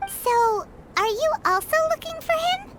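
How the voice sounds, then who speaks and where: Super high squeaky little pixie, bouncy voice, blunt and chatty, game character. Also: female voice, big pitch swings, very noisy, exaggerated voice. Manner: talks about herself in the third person, quick to suspect. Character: tiny floating female pixie companion, chatty and blunt. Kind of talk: video game voice acting.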